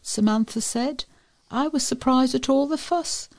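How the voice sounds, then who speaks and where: A woman's voice: high-pitched, unhurried. A woman reading scripted local news and features as a volunteer newsreader.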